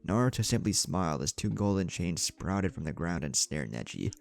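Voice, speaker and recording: A man's voice: low voice, big pitch swings, brisk, creaky. A man reading a scripted story as a narrator, voicing the dialogue as he goes. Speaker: fan fiction narrator. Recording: music bed under the voice.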